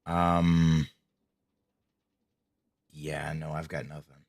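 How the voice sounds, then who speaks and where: A man casually talking to himself while playing a game, low-key and mumbling, with a voice he says people call soothing. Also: flat delivery, very slow, creaky, darkish tone, dry recording. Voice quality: voice called soothing. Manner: low-key, mumbling, swears when frustrated. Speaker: streamer. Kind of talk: casual gameplay commentary.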